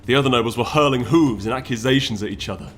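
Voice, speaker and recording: A man reading a story aloud as dramatic, solemn and deliberate scripted narration. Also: medium pitch. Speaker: story reader.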